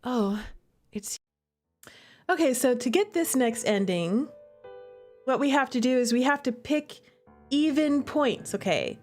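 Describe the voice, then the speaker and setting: Female game streamer, high voice, chatty and theatrical, talking straight to her audience. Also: takes it slowly, long pauses, faint music behind. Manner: animated, theatrical, acts out reactions; conversational asides to chat. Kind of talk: casual livestream commentary.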